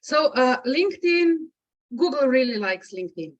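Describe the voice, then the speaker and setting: A woman's voice: high voice, very animated, normal speed. Woman, from Slovenia, non-native English, hesitant "uh" fillers, muffled video call audio. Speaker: guest LinkedIn coach. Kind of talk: webinar presentation.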